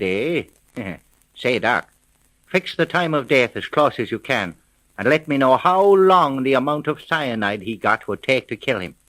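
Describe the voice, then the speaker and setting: An actor playing a gruff, suspicious veteran police sergeant in a 1930s radio serial, blunt and slangy. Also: male voice, on the high side, fairly bright tone, low-quality recording. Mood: businesslike.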